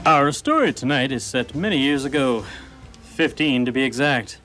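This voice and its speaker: A man's voice: mid-range voice, animated, moderate pace, music in the background. A man narrating a scripted radio theatre story in wry, wordy, literary phrasing.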